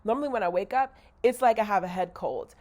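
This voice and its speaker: A woman's voice: mid-range voice, fast, darkish tone. A woman with a slightly nasal, congested voice, rambling candidly in a casual video diary and correcting herself as she goes. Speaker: vlogger.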